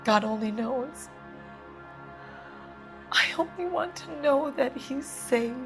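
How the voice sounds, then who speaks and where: An anxious wife in a dramatic detective game. Her voice is fairly high and slow, with a wounded, defensive edge. Quiet music plays behind her. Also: lively intonation, heavily acted. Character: anxious, indignant wronged wife of a missing man. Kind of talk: dramatic video game dialogue.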